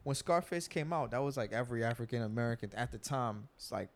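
A man's voice: mid-range voice, fast talker. A young man in casual group talk, explaining at length with many fillers and restarts. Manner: animated, lots of filler words and restarts.

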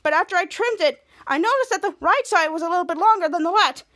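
A woman's voice: very high voice, animated, fast talker, bright, forceful tone, heavily acted. A woman voicing a vain, dramatic little sister with a whiny delivery. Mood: sulky. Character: dramatic, vain little sister upset about her hair.

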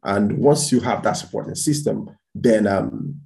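A man with a low, gravelly voice speaks as an interview guest. His talk is halting, full of restarts and doubled words. He was born in Spain and raised in Portugal. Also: a little mellow. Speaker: guest entrepreneur. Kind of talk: casual interview chat.

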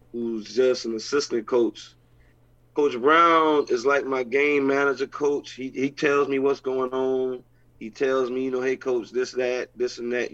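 A man talks excitedly as a football head coach, repeating phrases in loose, informal speech. His voice sits at a middle pitch. The recording sounds dull and lo-fi. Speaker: head coach and team owner. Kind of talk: casual chat.

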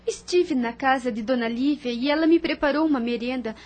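Radio play: actress as a gentle but firm young heiress, high voice, composed and polite. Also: female voice, a little fast, natural voice. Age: young adult. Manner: composed and formal, curt when displeased. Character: Maria, young woman heir of a farm, gentle but firm. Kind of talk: radio drama acting.

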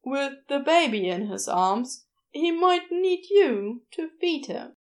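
A woman's voice: sits high, expressive, slow. A woman voicing amused, teasing hospital co-workers in an audiobook. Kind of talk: audiobook character acting.

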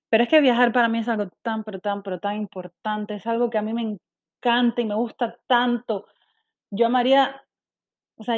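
A young woman from Cuba with a Cuban accent, speaking candidly and self-critically in a personal monologue, with frequent restarts. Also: mid-range voice. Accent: Cuban Spanish.

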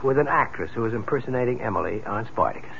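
An actor playing a troubled, defiant young fiancé in a 1970s radio drama, speaking in an intense, brusque way. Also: male voice, mid-range voice, animated, quick pace, vocal fry, a bit bright. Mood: strained. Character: troubled, defiant young fiancé haunted by his dead girlfriend. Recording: lo-fi, noisy 1970s radio broadcast.

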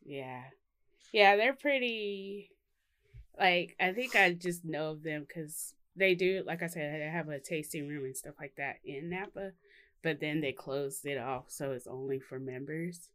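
A woman's voice: low-pitched, unhurried, a bit bright. A woman co-hosting a podcast from home in casual chat, rambling and laughing often. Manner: giggly, rambling. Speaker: podcast co-host. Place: home in Texas.

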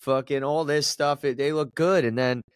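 A man's voice: on the high side, steady tone, moderate pace, clear, resonant tone, no room sound, clean studio sound. A man hosting a podcast in casual sports chat, talking emphatically, repeating words and swearing casually. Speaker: podcast host.

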